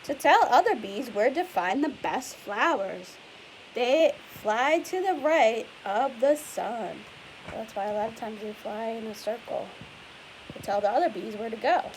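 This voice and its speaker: High-voiced woman reading aloud to children, hissy recording. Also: expressive, a little slow, rough recording. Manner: patient, explanatory, with questions to the listener. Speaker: teacher reading a picture book aloud to children. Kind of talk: read-aloud with simple explanations for young children. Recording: clear background hiss and noise.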